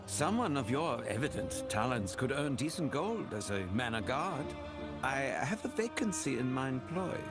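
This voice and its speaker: Man playing a haughty nobleman, mid-pitched, very expressive intonation, florid wording, theatrical, condescending, fantasy game, music behind. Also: normal speed, natural voice. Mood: persuasive. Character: smooth, pompous male nobleman magistrate, drolly dismissive.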